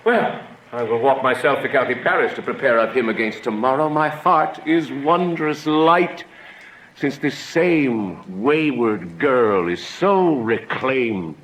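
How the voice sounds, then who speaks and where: A man's voice: mid-range voice, slow, fairly bright tone. A man playing a bustling, commanding middle-aged father of a noble house in a Shakespearean radio drama, with blustery archaic speech. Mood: jovial, resolute. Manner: blustery, imperious delivery of archaic lines. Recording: roomy, noisy ambient backdrop.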